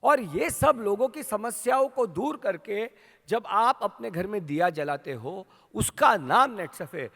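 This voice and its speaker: A man with an Indian accent giving a rousing motivational speech to his company's sales team, asking the crowd questions and building to shouts. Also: sits high, animated, unhurried, vocal fry. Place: large hall at a training event. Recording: long hall reverb.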